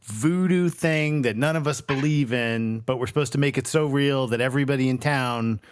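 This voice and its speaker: A man's voice: medium pitch, normal speed, clear, resonant tone. A man playing a tabletop game, improvising in character in an incredulous, objecting manner.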